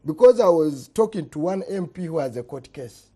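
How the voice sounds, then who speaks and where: Middle-aged Ugandan man, politician in a heated debate, husky, mellow tone, very lively pitch, repeats himself for emphasis. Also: normal speed. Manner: emphatic, repeats words, voice drops at phrase ends. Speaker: politician guest.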